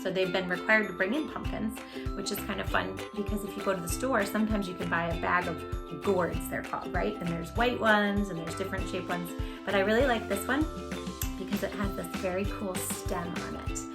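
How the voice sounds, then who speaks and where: A woman hosting a children's storytime, speaking in an animated, gushing way straight to young listeners as she chats and reads aloud. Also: medium pitch, brisk, loud music behind the voice. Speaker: children's storytime host and creator of the channel. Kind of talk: children's read-aloud and direct-to-viewer chat.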